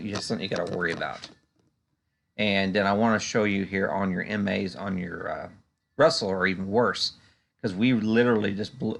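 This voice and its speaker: Male analyst, low gravelly voice, conversational and halting, talking through charts off the cuff. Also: a bit bright. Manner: conversational, hesitant, frequent "you know". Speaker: stock market analyst and video host. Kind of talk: unscripted market chart commentary.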